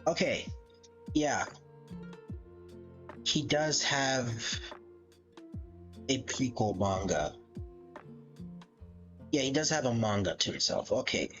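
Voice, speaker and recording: Lively, unhurried streamer on a muffled mic. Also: mid-range voice. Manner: rambling, thinking aloud, swearing casually; amused, laughs often. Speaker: live streamer. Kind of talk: casual livestream commentary, ranking game characters. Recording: dull lo-fi mic with background music. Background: background music.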